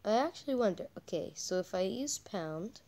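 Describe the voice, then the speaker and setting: A woman doing casual, halting game commentary as a channel host, her voice croaky from a cold. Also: medium pitch, animated, dark tone. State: croaky with a cold, coughing and throat-clearing.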